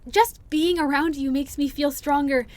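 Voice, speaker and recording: A woman acts out a shy, affectionate young woman in an audio roleplay. Her voice sits high. She talks in an anxious, earnest ramble, hesitating as she goes. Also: fairly even intonation, normal speed, darkish tone, close to an everyday voice. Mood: earnest, tender. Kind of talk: interactive audio roleplay acting.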